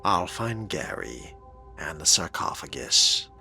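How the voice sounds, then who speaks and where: A man voicing an urbane vampire fledgling in a game role-play, with a formal, dry and deliberate way of talking. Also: low voice, big pitch swings, gravelly, bright, forceful tone, faint music behind, full character voice. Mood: composed.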